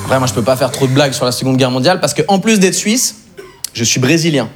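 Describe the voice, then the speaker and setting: A young man from Switzerland telling self-mocking stories as an animated, conversational stand-up comedian on a live stage. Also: medium pitch, fast, soft background music. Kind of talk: stand-up storytelling to a live audience.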